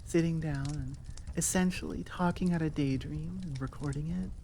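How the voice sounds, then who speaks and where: A woman's voice: low-pitched, moderate pace, warm tone, a little hiss or hum. A woman creator of audio roleplays talking to her listeners in an informal, rambling, self-deprecating way. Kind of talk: casual unscripted chat.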